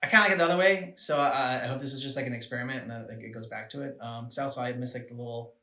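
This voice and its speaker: A man co-hosting a reaction show, chatting casually about game scenes and haltingly thinking aloud. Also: mid-range voice, bright, forceful tone, far from the mic. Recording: echoey room, off the mic.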